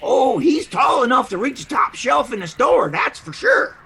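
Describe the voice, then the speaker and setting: A folksy homeless street character, wily and good-hearted, in an audio drama. The voice is high and very creaky, swooping up and down with playful, mangled grammar. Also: very animated, moderate pace, bright, forceful tone, heavily acted. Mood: playful, evasive. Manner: folksy, playful, ungrammatical drawl with mangled words.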